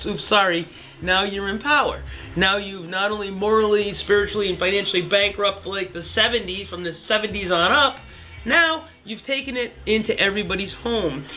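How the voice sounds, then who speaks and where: A woman in her fifties chatting casually at a table at home, talking in an animated, ranting way and putting on voices when she quotes people. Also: mid-range voice. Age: 50s. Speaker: co-host. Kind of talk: casual chat. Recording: tinny, phone-like sound with faint music.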